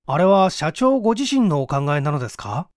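A man's voice: on the high side, sing-song, fairly quick, dry recording, studio quality. A man voicing a blunt, serious, unsociable male engineer in a story reading, with a brusque manner. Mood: questioning.

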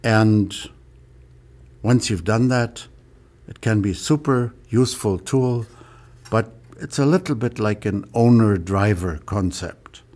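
An older man with a lowish voice walks through a piece of lighting gear in an unhurried, measured way. He shows each part with his hands as he goes. It was recorded in a studio with off-camera sound. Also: darkish tone. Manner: demonstrates with his hands while explaining. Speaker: lighting product designer. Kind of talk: explaining a product on camera.

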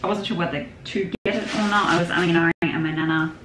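A young woman with a lowish voice chats her way through a casual shopping haul, rambling and laughing easily. She sits at a desk in a home craft room, picked up by a camera a little way off. The room is echoey, with noise behind her. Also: steady tone, fast. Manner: chatty, rambling, with frequent laughs and restarts. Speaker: vlogger.

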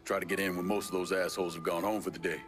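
An actor playing a gruff, hardened young male war veteran in a video game, terse and dry. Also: sits low, flat delivery, rapid-fire, close to an everyday voice. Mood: businesslike. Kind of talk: video game drama acting. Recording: game music under dialogue.

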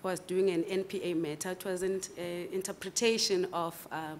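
A South African woman in her forties, answering interview questions formally and carefully, with frequent pauses and fillers, at a table microphone in a large conference room. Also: on the low side, steady tone, a little mellow, slight background noise. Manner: measured, hesitant with fillers. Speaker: candidate advocate interviewed for judgeship.

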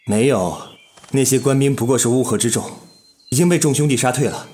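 A man's voice: mid-range voice, a little fast, a little mellow, a little hiss or hum, close to an everyday voice. Man playing a courteous, composed young bandit fighter. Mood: admiring report. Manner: polite, composed, formal. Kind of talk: Chinese period audio drama acting.

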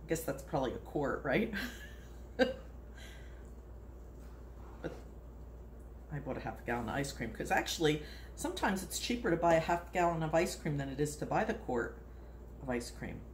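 A woman's voice: low voice, sing-song, moderate pace, long pauses. A woman in her sixties hosting a casual home cooking demonstration in her kitchen, chatty and rambling, laughing often, recorded on a nearby phone. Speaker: home cooking video host. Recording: echoey kitchen with background noise.

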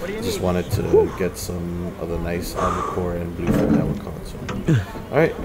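A man narrating his own fishing video in a relaxed, rambling voice-over with plenty of fillers. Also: deep voice, normal speed, very noisy. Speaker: fishing channel host. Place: voice-over, not recorded on the boat.

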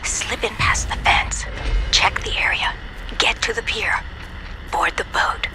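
An actress voicing a hushed, businesslike heist briefer giving clipped, whispery instructions in a video game. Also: female voice, measured pace, natural voice, poor audio. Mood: focused, secretive. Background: music.

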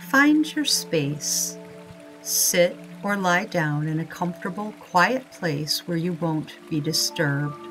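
Female meditation guide, slightly low voice, very unhurried scripted read, soothing and calm. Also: faint music behind.

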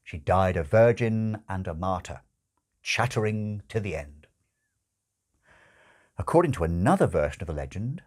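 A man in his sixties reads a comic novel aloud expressively, with dramatic, wryly emphatic phrasing and deliberate pauses, recorded in a room at home. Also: fairly low pitch, darkish tone, breathy edge. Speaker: audiobook-style reader. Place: small furnished room at home, indoors.

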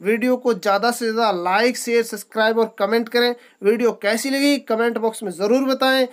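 A woman with a fairly high voice delivers a scripted sales voice-over, listing selling points one after another in a brisk promotional cadence. The read is clean and close, made away from the pictured location. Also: steady tone. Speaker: livestock sale channel presenter. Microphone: studio voice-over, not recorded on the farm.

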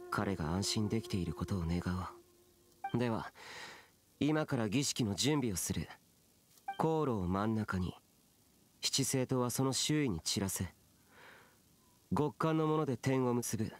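Low-voiced, solemn young immortal guardian played by a man. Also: expressive, moderate pace, pauses often, close to an everyday voice. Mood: quiet, pensive. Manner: curt, grave, stern. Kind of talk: Japanese anime game voice acting. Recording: game music behind.

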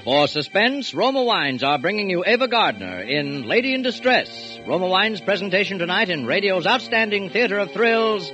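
A man's voice: high-pitched, big pitch swings, moderate pace, few pauses, muffled recording. A man giving formal, dramatic show introductions in 1940s radio announcer style. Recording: old radio broadcast over loud music.

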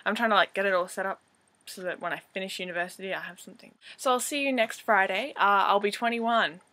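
A young Australian woman in her early twenties talks straight into a webcam from her bedroom. Her voice has a bright, clear ring, set at a middling pitch. She rambles in a self-deprecating way, with plenty of ums along the way. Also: quick pace, dry recording. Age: 20s. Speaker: vlogger. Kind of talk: casual self-introduction. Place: bedroom with poster-covered walls, indoors. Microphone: webcam at desk.

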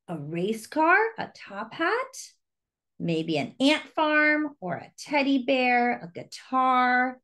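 A woman reads a children's storybook in a fairly high, very lively voice. She takes her time over each phrase and speaks simply, as host to young listeners. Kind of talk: expressive children's read-aloud with asides to young listeners.

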